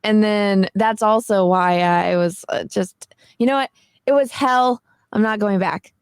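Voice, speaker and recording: A woman's voice: mid-range voice, measured pace, clean tone, no room sound. A woman in her twenties, a guest on a casual panel chat, telling a story and quoting others as she goes, often laughing. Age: 20s.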